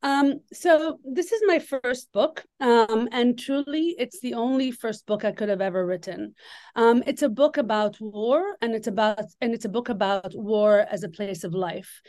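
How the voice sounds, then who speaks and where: A woman from Lebanon, an author and scholar, answering interview questions thoughtfully with many pauses and ums. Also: a little high, a little mellow, dry recording, clean studio sound.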